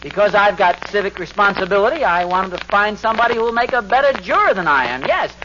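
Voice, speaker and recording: A man's voice: high-pitched, expressive, fast. An American man acting a self-important, evasive suburban husband in an old-time radio sitcom, pompous and blustering, on a noisy, muffled recording. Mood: flustered, evasive. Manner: pompous, blustering, stammering when cornered.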